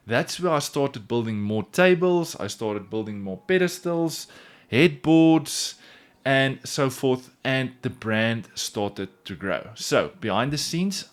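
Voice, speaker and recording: A man whose first language is Afrikaans, speaking English with an Afrikaans accent, earnestly telling his own story straight to the camera in a casual vlog. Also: mid-range voice. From South Africa. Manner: earnest, repeats "in all honesty". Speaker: woodworking YouTuber.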